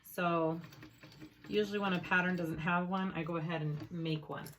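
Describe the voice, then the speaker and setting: A woman's voice: fairly low pitch, fairly even intonation, moderate pace, noisy background. A woman hosting a casual sewing tutorial, chatty and easygoing as she thinks aloud while working, her voice slightly strained from a recent illness. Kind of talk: casual step-by-step craft tutorial.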